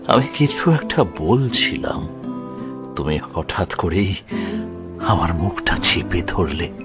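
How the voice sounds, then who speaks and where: An actor playing a wistful middle-aged man reunited with a lost love in a romantic audio drama, speaking tenderly and haltingly. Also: low-pitched, very animated, over music, full character voice. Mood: tender nostalgia. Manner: slow, halting, repeats words tenderly.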